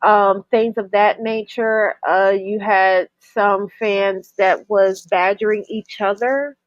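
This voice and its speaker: A woman podcaster from New York, a little stuffy from a cold, chatting at an unhurried pace with plenty of ums. Her voice comes through dry and somewhat dull, like a lo-fi home setup. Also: mid-range voice, fairly even intonation, no room sound. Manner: chatty, with frequent ums and restarts. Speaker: co-host. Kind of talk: casual chat.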